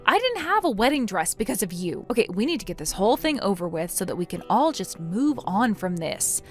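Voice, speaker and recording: Woman playing wronged bride, stern, reproachful, businesslike, mid-pitched, lively intonation, story dialogue, faint music behind. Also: brisk, close to an everyday voice. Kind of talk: acted dialogue in a melodramatic story.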